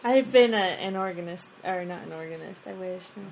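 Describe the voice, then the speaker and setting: A woman chatting casually and reading from a church talk, quick to giggle. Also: on the low side, vocal fry, crowd noise. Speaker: podcast co-host. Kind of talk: casual chat and reading aloud.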